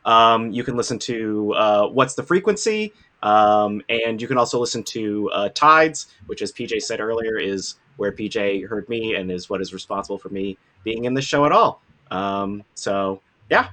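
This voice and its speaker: A man who works as a voice actor, chatting casually with an animated, self-deprecating manner. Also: fairly low pitch, normal speed. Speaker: voice actor, guest on a panel. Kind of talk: casual chat, plugging projects.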